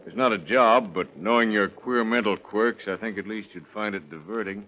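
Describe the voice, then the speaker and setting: A man's voice: fairly low pitch, moderate pace, lightly acted. An actor playing a shrewd male police detective with a dry, needling manner, in 1930s radio drama style. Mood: dry, goading. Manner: dry, needling, leading questions. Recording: dull lo-fi old radio recording.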